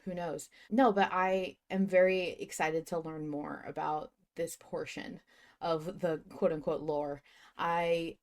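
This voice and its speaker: A woman's voice: low-pitched, fairly even intonation, slow pace. A woman hosting a fan podcast in casual, effusive, enthusiastic chat. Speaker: podcast host.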